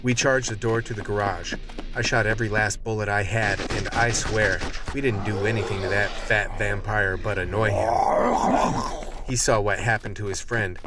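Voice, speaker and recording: A man acting as a tough action hero telling his story in a radio play. His voice is low and the delivery is gritty and plainspoken, like someone recounting a fight he survived. Music runs underneath the narration. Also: fairly even intonation, moderate pace, recorded from a distance, close to an everyday voice.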